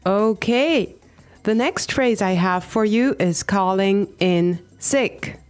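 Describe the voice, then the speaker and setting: A woman co-hosts a language-learning podcast with a mid-pitched voice. She sounds conversational yet instructive, presenting phrases clearly for learners. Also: slow, faint music behind. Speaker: podcast co-host and language teacher.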